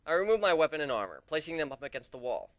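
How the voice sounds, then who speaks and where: A man's voice: fairly high pitch, very animated, racing, a bit bright, dry recording. A man giving an amateur dramatic reading of a first-person fantasy adventure story.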